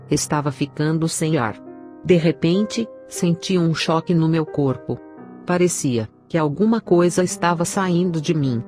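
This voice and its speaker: A woman's voice: low-pitched, warm tone. A woman with a Brazilian accent narrating a scripted, dramatic religious testimony. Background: prominent music.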